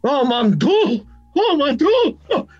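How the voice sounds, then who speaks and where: An actor playing a fussy French nightclub manager in an amateur radio play, stammering and exasperated. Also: male voice, very high voice, sing-song, slow pace, no echo, highs cut off, faint music behind, full character voice. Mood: shocked, agitated.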